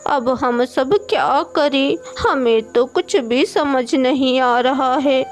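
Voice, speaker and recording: A woman's voice: high voice, takes it slowly. A woman voicing a blunt crow from a flock of birds in a children's cartoon. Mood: distressed. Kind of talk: children's cartoon dubbing in Hindi. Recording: music behind the voice.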